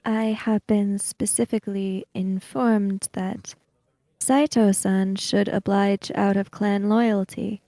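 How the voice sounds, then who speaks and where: Female player as reserved samurai emissary for tabletop game, soft dark tone, dry close sound, precise delivery. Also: medium pitch, flat delivery, dry recording. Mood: reserved, measured. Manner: formal, deliberate, precise. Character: reserved, formal samurai emissary, measured and guarded. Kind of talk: in-character tabletop roleplay.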